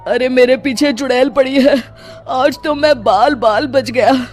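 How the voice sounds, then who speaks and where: Man voicing a high-pitched cowardly male villager. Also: measured pace, soft background music. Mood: panicked. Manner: panicky, pleading.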